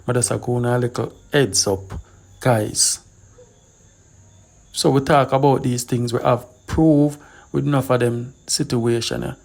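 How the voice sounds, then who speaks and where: Gravelly Jamaican male host. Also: medium pitch, fairly even intonation, unhurried, a little mellow. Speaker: online show host. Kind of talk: casual gossip commentary to followers.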